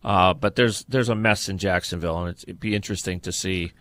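A man's voice: sits low, steady tone, fairly quick, a bit bright, dry recording. A man co-hosting a sports podcast, giving blunt, opinionated takes on football in a conversational style.

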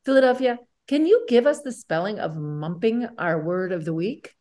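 A woman's voice: mid-range voice, lively intonation, moderate pace, dark tone. A woman co-hosting a podcast in an upbeat style of presenting and chat. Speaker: podcast co-host.

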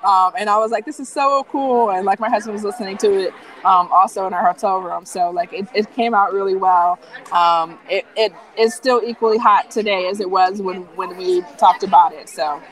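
A woman guest chatting casually in a live interview, enthusiastic and full of fillers, her voice getting hoarse from too much talking. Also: medium pitch, moderate pace, poor audio. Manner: relaxed, filler-heavy, enthusiastic. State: losing her voice. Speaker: founder of a nonprofit hacking group, guest.

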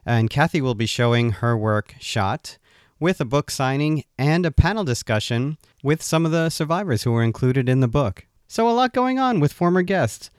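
A man hosting an interview podcast, chatting in a relaxed way with frequent fillers and restarts. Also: mid-range voice, clear, resonant tone, no room sound, clean studio sound. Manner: conversational, halting with fillers. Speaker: podcast host. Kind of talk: casual interview.

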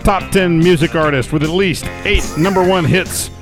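A man's voice: a little high, over music. A man co-hosting a casual podcast, reading news stories aloud with frequent stumbles and restarts. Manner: halting, stumbles while reading. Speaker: podcast co-host.

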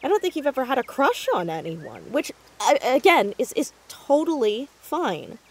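A woman's voice: sits high, very animated, normal speed, husky, full character voice. A young woman playing an earnest, inquisitive teenage girl sleuth in a naturalistic audio drama, chatty and stammering when flustered. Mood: awkward, apologetic.

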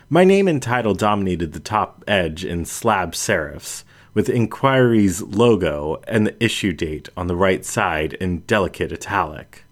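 A man reading a scripted first-person story aloud as an audiobook narrator. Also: low voice.

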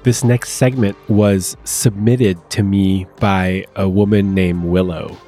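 A man's voice: low-pitched, steady tone, takes it slowly, mellow, soft background music. A man hosting a show, reading love letters aloud and introducing listener submissions. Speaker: podcast host.

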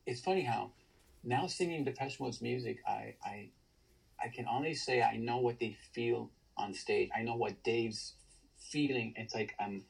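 Gravelly baritone man, husky. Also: unhurried, low-quality recording. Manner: enthusiastic storyteller, many restarts. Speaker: guest, tribute band singer. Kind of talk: casual podcast interview.

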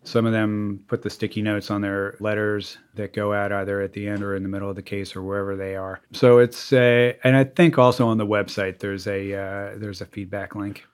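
A man's voice: on the low side, fairly even intonation, brisk, hardly any pauses, clean tone. A man, a lawyer talking casually in an interview, rambling with lots of "you know" and restarts. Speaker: lawyer, guest.

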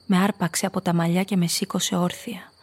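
A woman's voice: on the low side, flat delivery, slight background noise, natural voice. An actress playing a frightened female blackmailer who tells her own story intimately in a scripted radio play. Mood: shaken, somber. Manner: intimate, confessional first-person storytelling.

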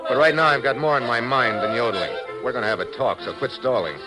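An actor playing a tough, wisecracking American secret agent, blunt and slangy, in 1950s radio thriller style. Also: male voice, medium pitch, lively intonation, quick pace, over music, lightly acted, low-quality recording. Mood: impatient.

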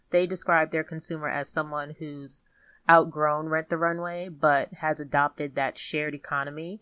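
A woman with a low-pitched voice hosts a podcast in a casual register. She talks conversationally and informally. The sound is dry, with very little room in it. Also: a bit bright, dry recording. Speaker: podcast host. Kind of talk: casual commentary and chat.

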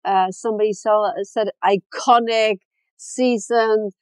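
A frank, self-mocking woman in her fifties who grew up in Chile talks freely as an interview guest. Her voice is mid-pitched and dry, with no room around it, and she often laughs at her own lines. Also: steady tone, slow, smooth, no room sound. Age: 50s. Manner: candid, self-deprecating, laughs often, many "you know"s. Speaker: guest, fashion designer. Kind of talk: casual interview chat.

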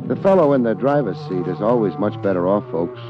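An American actor playing a wry, world-weary private detective in a 1950s hardboiled radio drama, with deadpan wisecracks. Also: male voice, on the low side, fairly quick, natural voice. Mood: wry narration. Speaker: lead radio actor and narrator. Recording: dull 1950s radio recording, music behind.

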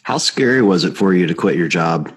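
Male podcast host, very deep husky voice, rapid casual questions. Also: expressive. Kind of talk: interview questions.

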